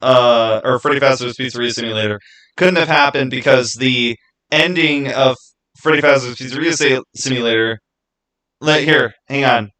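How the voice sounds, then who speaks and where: Man, livestream host, bright voice, chatty, rambling, thinking aloud, casual, mid-pitched. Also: moderate pace, poor audio. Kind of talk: casual livestream chat, answering viewer comments.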